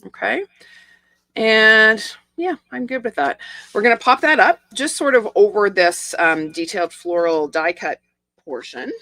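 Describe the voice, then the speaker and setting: A middle-aged woman with a croaky, hoarse voice, chatting casually as she demonstrates a stamping and card-making project step by step. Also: fairly low pitch, pushed and bright, no echo. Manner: chatty, explains each step with asides and small laughs. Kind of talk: casual live craft tutorial.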